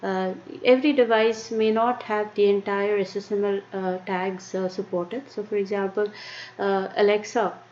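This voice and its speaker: A woman with an Indian accent presenting a live-streamed tech lesson, talking haltingly with many fillers and restarts. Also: mid-range voice, flat delivery, darkish tone. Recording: muffled lo-fi stream with faint noise.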